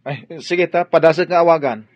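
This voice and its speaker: An actor playing a concerned, admonishing godfather with a husky voice in a radio drama. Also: on the high side, fairly quick, crowd noise, lightly acted. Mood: surprised, helpful. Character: concerned, scolding godfather, older family friend. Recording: dry, muffled lo-fi radio drama.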